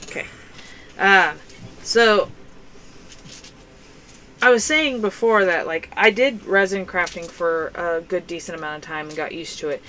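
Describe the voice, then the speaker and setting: A woman's voice: lowish voice, unhurried, pauses often, sharp tone, slight background noise, low-quality recording. A young woman hosting a crafting stream, chatting casually and rambling with lots of fillers. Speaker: crafting channel host. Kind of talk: casual chat while crafting.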